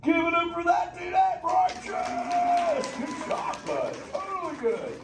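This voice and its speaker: A man's voice: extremely high pitch, wildly swooping pitch, takes it slowly, over loud music, a loud crowd, exaggerated voice. A man playing a laid-back, goofy surfer dude sea turtle, bantering in drawling slang at a live theme park show. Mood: excited. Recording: echoey theme park theater, distant, noisy.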